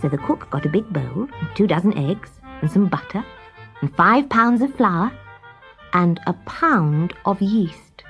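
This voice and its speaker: Animated woman reading a children's story. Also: lowish voice, unhurried, muffled recording, faint music behind. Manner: expressive storytelling. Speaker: story reader. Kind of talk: scripted children's story narration.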